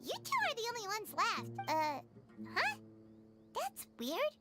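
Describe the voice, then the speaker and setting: A woman voicing a tiny, cheerful, childlike fairy companion in a video game, squeaky and perky. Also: wildly swooping pitch, unhurried, full character voice. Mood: curious, chirpy. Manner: perky, speaks of self in third person. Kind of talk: animated video game voice acting. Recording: game music behind the voice.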